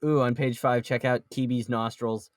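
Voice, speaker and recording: A man's voice: medium pitch, fairly even intonation, moderate pace, very noisy. A man chatting casually as a podcast panelist, joking and swearing freely. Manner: jokey, profane. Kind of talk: casual group chat about a manga.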